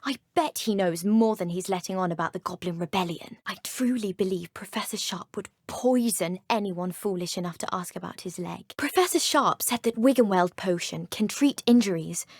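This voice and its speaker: A woman's voice: medium pitch, normal speed, hardly any pauses, no room sound, clean studio sound, lightly acted. A woman voicing a gossipy schoolgirl at a wizarding school in a video game, with a confiding, chatty manner. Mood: conspiratorial. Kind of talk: video game character dialogue.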